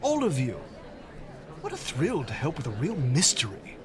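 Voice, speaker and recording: A man's voice: medium pitch, wildly swooping pitch, normal speed, a crowd in the background. An actor playing an eager, polite young Englishman who talks in a rambling, enthusiastic way. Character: eager, polite young man, opera fan.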